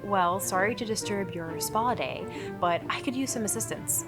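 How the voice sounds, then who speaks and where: A woman's voice: medium pitch, normal speed, music in the background, close to an everyday voice. A woman playing an earnest, wry superheroine in a radio play, with a determined manner. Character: earnest, wry young superheroine, bulletproof and dutiful.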